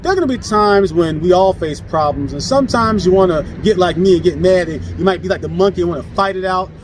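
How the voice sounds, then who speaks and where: A man's voice: sits high, fast talker, noisy background. A man telling a children's story in a dramatic, sing-song way, repeating words for effect. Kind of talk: animated story narration. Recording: off-mic, loud music underneath.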